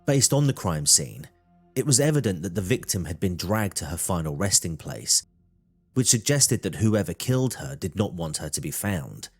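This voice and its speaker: Deep-voiced male true crime narrator. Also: big pitch swings, moderate pace, slightly rough, soft background music.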